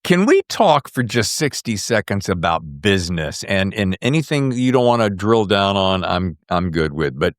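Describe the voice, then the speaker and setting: Male interviewer for a podcast: expressive, swooping delivery, effusive and casual, close dry recording. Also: sits low, moderate pace, few pauses, no room sound. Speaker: podcast host interviewing an author.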